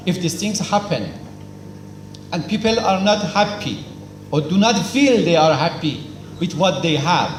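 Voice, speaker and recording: A man's voice: on the high side, expressive, a little slow. A man giving a formal speech at a cultural celebration, lecturing emphatically in simple non-native English, asking questions and then answering them himself. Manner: lecturing, asks and answers his own questions, emphatic repetition. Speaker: speaker at a cultural event. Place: hall at a celebration. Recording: echoey hall with faint noise.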